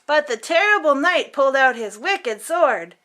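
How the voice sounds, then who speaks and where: A woman voicing a bold young boy who reads a story aloud in a booming, dramatic manner. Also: animated, normal speed, sharp tone.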